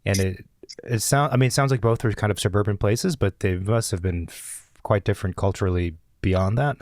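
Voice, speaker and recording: Male co-host, interviewer; slightly low voice, inquisitive, dry close sound. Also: fairly quick, darkish tone, no room sound. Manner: curious, asks open follow-up questions. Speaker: podcast co-host. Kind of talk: casual interview conversation.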